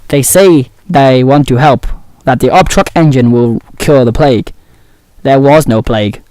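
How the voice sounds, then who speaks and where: A man plays a serious android ally in an audio drama. He explains things plainly in a mid-pitched voice, recorded close and dry. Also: a little slow, dark tone, no room sound, close to an everyday voice. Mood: matter-of-fact. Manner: informative, serious. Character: matter-of-fact male android ally explaining grim events.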